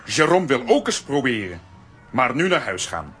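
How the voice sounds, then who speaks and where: A man's voice: mid-range voice, big pitch swings, normal speed, a bit bright. An actor playing a simple, good-natured strongman in a children's audio drama, speaking blunt, broken sentences. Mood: good-natured, plain. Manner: blunt broken telegram-like grammar.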